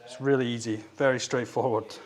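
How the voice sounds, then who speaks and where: A man's voice: mid-range voice, steady tone, a little fast. A middle-aged man, an electrician, casually explaining an installation on the job in a laid-back, matter-of-fact way. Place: indoors in a house and at its front door. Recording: music playing behind the voice.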